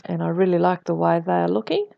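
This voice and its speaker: A woman with a cold, her voice croaky, deep and husky, narrating her scrapbooking in a relaxed voice-over. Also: quick pace, no echo, lo-fi sound. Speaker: scrapbooking vlogger.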